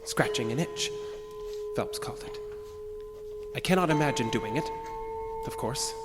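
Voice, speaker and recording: A pompous, brooding man with violent urges speaks his journal aloud in a horror audio drama. His delivery is formal and deliberate but swings widely in pitch. Long reverb surrounds him, with music underneath. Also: huge pitch swings, exaggerated voice. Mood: brooding, tempted.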